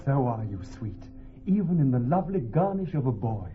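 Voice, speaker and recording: An actor playing an ardent young gentleman lover in a classic Shakespearean radio drama. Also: mid-range voice, big pitch swings, normal speed, natural voice. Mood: ardent, urging. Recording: tinny old radio recording.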